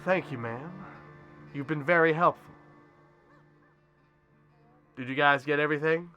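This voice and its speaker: A man's voice: fairly high pitch, measured pace, muffled recording, soft background music, natural voice. A man playing a ruthless, commanding henchman leader in a sci-fi audio drama. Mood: businesslike. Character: ruthless male henchman leader hunting a rival.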